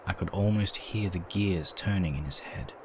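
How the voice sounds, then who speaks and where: A man with a deep, low voice narrates a dramatic first-person story. He reads from a script, with noticeable ambient sound behind him. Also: normal speed, fairly bright tone, slight background noise, rough recording.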